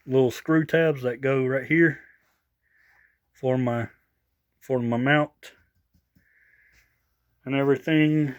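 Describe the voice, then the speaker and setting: A man's voice: mid-range voice, muffled recording. A slightly tired man, rambling casually in a folksy, unscripted show-and-tell for a vlog. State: a little tired after work. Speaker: vlogger showing off a new CB radio.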